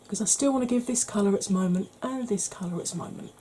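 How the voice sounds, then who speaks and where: A woman from the UK, a beauty vlogger talking through a makeup tutorial in a quiet voice that keeps slipping into whispers. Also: medium pitch, fast talker, slight background noise. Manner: quiet, soft-spoken, drifting into whispers.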